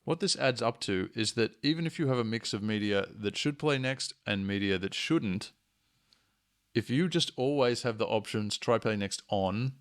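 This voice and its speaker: Low-voiced man with a gravelly edge. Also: expressive, moderate pace. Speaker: software tutorial presenter. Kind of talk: explanatory screencast tutorial narration.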